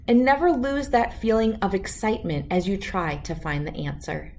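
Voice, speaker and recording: A woman reading a children's picture book aloud as an expressive storyteller. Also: medium pitch.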